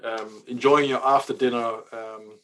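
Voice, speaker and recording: A man's voice: mid-range voice, fairly even intonation, measured pace, a bit bright, poor audio. A man whose first language is Dutch presents an online coding workshop as the presenter, talking casually with many fillers and restarts over a computer mic on a video call.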